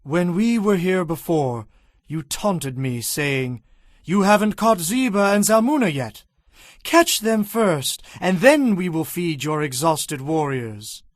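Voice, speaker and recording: A resolute, higher-voiced ancient war leader. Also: male voice, expressive, slow pace, no room sound, studio quality. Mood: stern, threatening. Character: Gideon, resolute Israelite war leader, firm and stern. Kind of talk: dramatized audio Bible acting.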